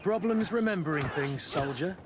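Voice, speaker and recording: An actor playing a blunt, challenging mercenary captain in a video game. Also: male voice, a little high, expressive, moderate pace, lightly acted. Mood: grim. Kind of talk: video game cutscene acting. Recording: outdoor ambient noise throughout.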